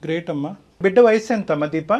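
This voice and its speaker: A man's voice: a little high, very fast, darkish tone. A man giving earnest, emphatic counselling advice at length on a talk show, mixing in English phrases. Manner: emphatic, long-winded, mixes in English phrases.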